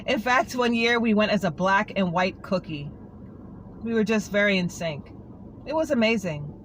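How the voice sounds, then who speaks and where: Heartbroken anchorwoman pouring her heart out to a coworker, earnest and pleading, middle pitch, as a reader puts her on. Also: animated, moderate pace, fairly bright tone, a little hiss or hum, natural voice, low-quality recording. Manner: earnest, pleading, confiding to a colleague. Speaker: monologue reader. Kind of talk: dramatic monologue reading.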